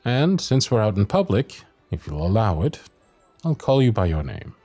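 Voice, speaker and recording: Music plays behind a male actor as a devoted royal knight in a fantasy audio roleplay. His lively voice swings widely in pitch. He is protective and exasperated, with a teasing streak and courtly turns of phrase. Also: moderate pace. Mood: resigned, firm. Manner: old-fashioned courtly phrasing, teasing and earnest. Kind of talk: ASMR roleplay acting, fantasy audio drama.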